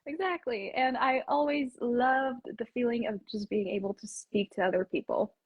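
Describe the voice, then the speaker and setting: A young woman from Poland, a native Polish speaker with an American accent, chatting casually as an interview guest in a slightly husky voice. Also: on the high side, moderate pace, highs cut off. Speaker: English teacher as interview guest. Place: indoors on an online video call.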